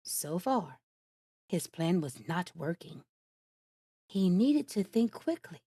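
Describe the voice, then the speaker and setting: Female storyteller, bedtime read-aloud; gentle, unhurried, lively intonation. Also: fairly low pitch, breathy edge, dry recording, clean studio sound. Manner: gentle, expressive, measured reading.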